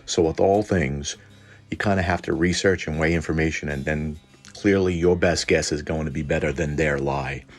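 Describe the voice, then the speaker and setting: A man hosting a lore deep dive in a colloquial, irreverent way, part scripted commentary and part casual aside. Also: steady tone, moderate pace, soft background music. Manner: colloquial, irreverent, mildly profane. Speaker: host of a cryptid and tabletop lore channel.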